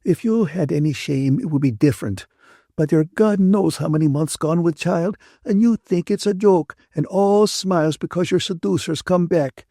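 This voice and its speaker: A bitter, indignant woman from a novel, acted by a male narrator, scolds in a raised pitch. The delivery is emphatic and aggrieved, close to tears, with a dry studio sound. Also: normal speed, dark tone, no echo. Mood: bitter, accusing.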